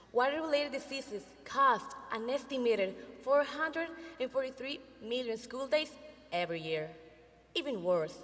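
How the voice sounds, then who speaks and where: A woman from El Salvador giving a rehearsed student team presentation in a clear, measured way. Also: a little high, fairly bright tone, big-room sound. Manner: clear, measured delivery of prepared lines.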